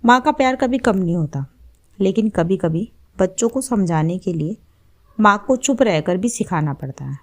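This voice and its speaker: Gentle retired mother played by a female narrator, soft-spoken, composed, quietly firm, for storybook narration. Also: medium pitch, animated, moderate pace. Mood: gently instructive.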